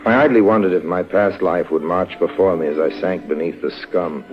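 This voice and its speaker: An actor playing a suave, wry con-artist adventurer in an urbane, sardonic way, in an old-time radio drama. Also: male voice, deep voice, a little fast, natural voice. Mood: wry narration. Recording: muffled vintage radio recording, faint music.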